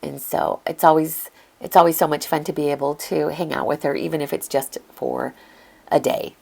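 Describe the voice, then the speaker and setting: An older woman narrating a casual flip-through of her craft journal, chatty and gushing, her voice slightly hoarse from a lingering illness. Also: low-pitched, steady tone, moderate pace, darkish tone. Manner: chatty and gushing, with occasional throat clearing.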